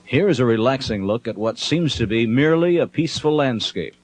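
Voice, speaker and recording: A man reading scripted narration for an instructional documentary film in a measured, instructive manner. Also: mid-range voice, animated, dry recording.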